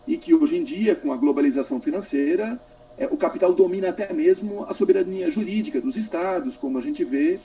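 A Brazilian man with a high, very animated voice speaks in an academic discussion. He keeps stopping and restarting as he searches for his words. The sound is a distant video-call mic. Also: slightly rough. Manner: halting, searching for words, repetitive. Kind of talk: extended philosophical question in online panel.

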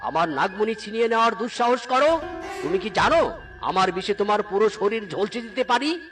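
A man voicing a proud male serpent king in a cartoon, shrill and indignant. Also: animated, brisk. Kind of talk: cartoon story voice acting. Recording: background music.

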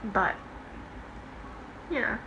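A woman's voice: extremely slow, highs cut off. Young Singaporean woman, noisy phone recording. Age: young adult. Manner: informal, offhand, slangy. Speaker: livestream host. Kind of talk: casual livestream chat, reading and answering comments. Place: home bedroom, indoors. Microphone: phone at arm's length.

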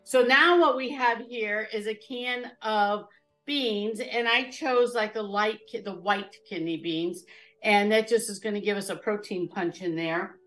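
Brief: woman in her 50s, home-kitchen cooking host, chatty, mid-pitched, light music underneath. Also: measured pace, fairly bright tone. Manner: chatty, explains as she goes. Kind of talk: casual live cooking demo chat.

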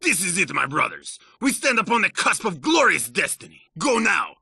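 A man playing a fanatical militant leader in a video game, harsh and raspy, declaiming grandly to his followers. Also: high voice, sing-song, moderate pace, pushed and bright, no echo, clean studio sound, full character voice. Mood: fervent rallying.

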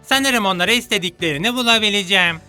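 A woman's voice: medium pitch, fast, pushed and bright, clean tone, no room sound, close to an everyday voice. A woman voicing a confident, resourceful young sea creature in a children's cartoon, assured and matter-of-fact. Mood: scheming, confident. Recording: music bed under the voice.

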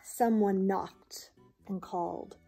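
A woman, reading a picture book to children in a dramatic, expressive way. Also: mid-range voice, slow, gravelly, breathy edge, soft background music. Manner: dramatic, theatrical. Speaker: volunteer storyteller. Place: small indoor room with bookshelves.

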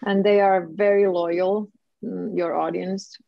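A woman's voice: on the low side, level tone, slow pace, gravelly, no echo. A woman in her thirties from Finland, a native Swedish speaker with a Finland Swedish accent, chatting casually as a singer guest on a video call, with many fillers. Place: home room, indoors. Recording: dull lo-fi video call audio.

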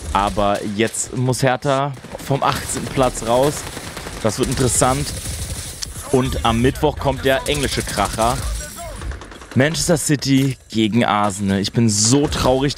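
A young man chats away as he plays, enthusiastic and easily sidetracked. His voice sits at an ordinary middle pitch, close and clear on a boom mic in a small home room. Faint game gunfire and mouse clicks sit low behind him. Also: background noise. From Berlin, Germany. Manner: chatty and enthusiastic, rambling asides. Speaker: gaming streamer. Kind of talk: casual chat while gaming. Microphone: studio mic on a boom arm, wearing headphones.

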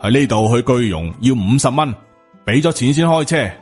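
Rude middle-aged cabbie for an audiobook, voiced by a man, Hong Kong Cantonese, brusque, mid-pitched, faint music behind. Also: expressive, normal speed, a little mellow, husky. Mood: demanding. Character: surly, impatient, skinny middle-aged male taxi driver.

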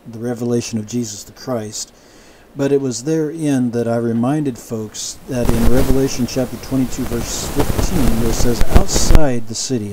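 A man giving a measured, explanatory Bible lecture, often restarting his sentences and adding asides. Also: medium pitch, noisy background. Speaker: Bible teacher. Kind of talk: sermon-style Bible lecture.

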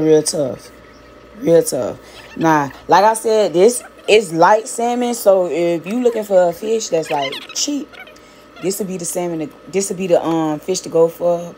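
A woman's voice: on the low side, darkish tone, a little hiss or hum. A young woman from Miami chatting casually and slangily while she prepares fish in her home kitchen. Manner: chatty, slangy, repeats words, talks while cooking. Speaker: cooking vlogger. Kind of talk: casual cooking vlog chat.

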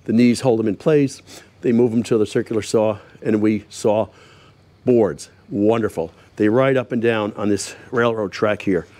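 An older man walks through the machinery of an outdoor sawmill shed, talking in a plain, folksy way. He drops the odd wry aside as he points things out. His voice sits at an ordinary pitch and comes through close on a clip-on mic. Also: darkish tone. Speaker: host showing his restored sawmill. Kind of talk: casual show-and-tell explanation. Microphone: lapel mic.

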